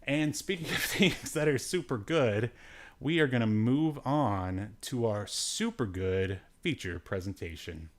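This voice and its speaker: A man's voice: medium pitch, animated, measured pace, a little hiss or hum. A man hosting a podcast with casual, rambling review chat, hesitant and given to dry, joking asides. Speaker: podcast host.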